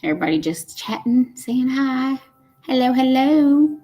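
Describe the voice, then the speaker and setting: A woman's voice: sits high, very animated, unhurried, dark tone, soft background music. Chatty fifty-year-old woman. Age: 50s. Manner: chatty and folksy, says y'all. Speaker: live stream host. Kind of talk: casual chat reading live comments.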